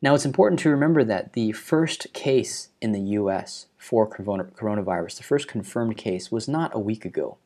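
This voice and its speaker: A man's voice: fairly low pitch, animated, mellow. Earnest young man explaining the news. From Hawaii. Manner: earnest, measured, stressing key numbers. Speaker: video host. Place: living room at home, indoors.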